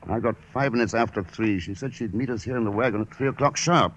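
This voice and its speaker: A man's voice: medium pitch, fast, a bit bright, natural voice. An actor playing a cool, shrewd male investigator in a vintage radio adventure drama, clipped and composed. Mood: cool, businesslike. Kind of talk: 1940s radio drama acting. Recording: noisy old radio recording.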